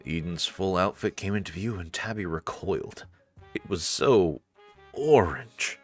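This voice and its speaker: A man's voice: deep voice, unhurried, soft background music. A man narrating a visual novel as a storyteller, with casual commenting asides.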